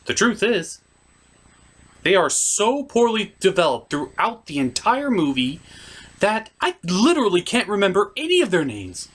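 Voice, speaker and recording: A man's voice: sits high, sing-song, normal speed, fairly bright tone. A man delivering a scripted comedic movie recap and critique in a sarcastic, emphatic way. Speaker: comic movie reviewer.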